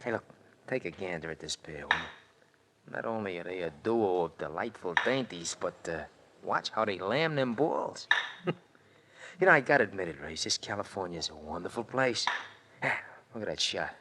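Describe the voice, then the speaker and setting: A man's voice: low-pitched, normal speed, background noise. An actor playing a brash, wisecracking male sidekick who grumbles in loose slang, in 1940s radio drama style. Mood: admiring, wisecracking. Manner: slangy, ungrammatical, grumbling, animated. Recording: vintage golden-age radio recording.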